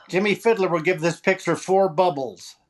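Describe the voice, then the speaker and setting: A man's voice: high-pitched, measured pace. A man playing a flippant, heckling radio show host who tosses out one-liners in 1940s comedy style. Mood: flippant, wry.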